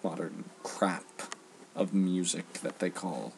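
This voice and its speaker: A young man giving an earnest, exasperated, unscripted rant, speaking emphatically with halting pauses in a husky, gravelly voice. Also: low voice, a little hiss or hum. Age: teens to young adult. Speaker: online ranter.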